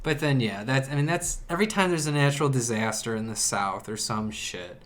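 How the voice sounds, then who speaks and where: A man's voice: mid-range voice, expressive, a little fast, a bit bright. A man in his twenties co-hosting a casual podcast, joking crudely and cutting in. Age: 20s. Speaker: podcast co-host. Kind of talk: casual chat.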